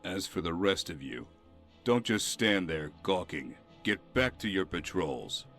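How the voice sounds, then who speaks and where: Very deep-voiced weary city guard. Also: male voice, big pitch swings, measured pace, a bit bright, faint music behind, full character voice. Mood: stern. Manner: dry, exasperated, sighing. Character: weary, stern city guardsman, fed up with troublemakers. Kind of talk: video game voice acting.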